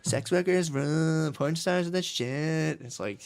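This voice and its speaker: A man co-hosting a crude comedy podcast, swearing a lot and often saying dude, who drops low and quiet when he retells stories. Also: takes it slowly, gravelly. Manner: profane, animated, says dude a lot. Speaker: podcast co-host.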